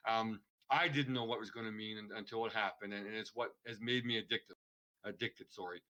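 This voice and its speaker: A man's voice: on the low side, steady tone, a little fast, pushed and bright, poor audio. A man guesting on a podcast, talking earnestly and rambling in casual chat. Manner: earnest, rambling, restarts a lot. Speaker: streamer guest.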